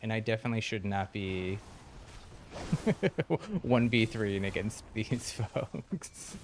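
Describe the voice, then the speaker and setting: A man's voice: on the low side, expressive, slow pace. A man giving casual, self-deprecating live commentary as he streams a video game. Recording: clearly noisy game audio behind voice. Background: video game sounds.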